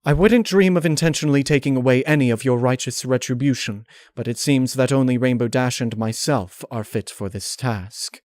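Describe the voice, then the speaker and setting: A vengeful, ladylike pony voiced by a man for a dark storybook tale. The pitch is lifted above his usual voice, and the diction is formal, theatrical and condescending, with chilly politeness over an unhinged streak. The sound is very dry. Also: moderate pace, hardly any pauses, no echo, lightly acted. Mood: chillingly polite.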